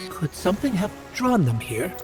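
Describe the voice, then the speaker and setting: An actor playing an inquisitive, analytical companion in a sci-fi video game, thinking aloud. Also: male voice, high voice, sing-song, normal speed, over music. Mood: puzzled. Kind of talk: acted game dialogue.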